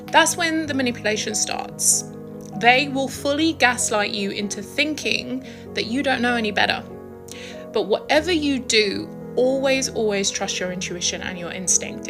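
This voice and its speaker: Woman, higher voice, emphatic motivational read, music bed. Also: moderate pace. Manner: emphatic, warning. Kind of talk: motivational voice-over.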